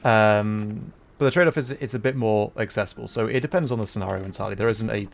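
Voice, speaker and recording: A man's voice: fairly low pitch, quick pace, vocal fry, slight background noise. A man hosting a livestream, chatting casually as a game is played, with hesitant, thoughtful, rambling phrasing. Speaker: livestream host, VTuber and audio voice performer. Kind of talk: casual livestream chat while playing a video game. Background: music behind the voice.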